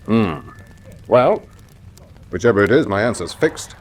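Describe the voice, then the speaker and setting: An actor playing a stubborn, hot-tempered young heir in a radio drama, speaking in a blunt, forthright manner. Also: male voice, fairly low pitch, very animated, unhurried. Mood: defiant. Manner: blunt, forthright, hesitating then firm. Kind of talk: classic radio drama acting.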